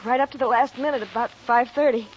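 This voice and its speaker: A muffled, faintly noisy 1940s radio recording holds an actress as a young-sounding office secretary. Her voice is high, polite and anxious, in the period radio drama manner. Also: fairly even intonation, fast talker, lightly acted. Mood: shaken, earnest.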